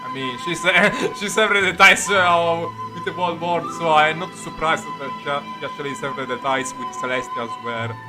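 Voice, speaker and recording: A man giving casual, halting, sweary reaction commentary as he plays a video game, sounding exasperated. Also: a little high, animated, poor audio. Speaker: game streamer. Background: game music.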